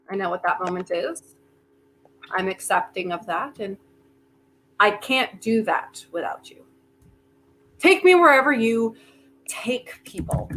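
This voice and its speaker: A woman actor in a staged play reading, playing a wry, determined inventor with a deliberate, halting delivery. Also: a little high, a bit bright, slight background noise, poor audio. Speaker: actor in a community theater reading.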